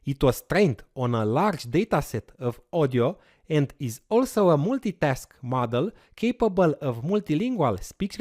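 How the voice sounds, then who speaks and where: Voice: medium pitch, darkish tone, no room sound, clean studio sound. A course instructor whose first language is Romanian, walking through a tutorial step by step. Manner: explanatory, walks through steps aloud with fillers. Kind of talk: screen-recorded coding tutorial.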